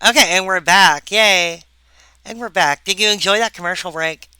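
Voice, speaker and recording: A woman giving a recorded philosophy lecture, halting and full of wry asides to the viewer. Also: medium pitch, sharp tone, clear, resonant tone, no room sound.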